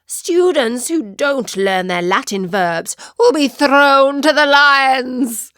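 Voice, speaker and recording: A woman's voice: sits high, slow, a bit bright, smooth, no room sound, studio quality, pitched above the performer's own voice. A woman voicing a strict, fierce male schoolteacher in a storybook, roaring out threats. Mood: furious roar. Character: strict, fierce male Latin teacher, secretly a magical cat.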